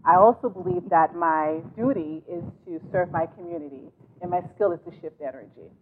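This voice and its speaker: A Haitian American woman from New York, a guest life coach speaking earnestly in measured, spiritual phrasing on a talk show. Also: fairly low pitch. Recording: tinny phone line with noise.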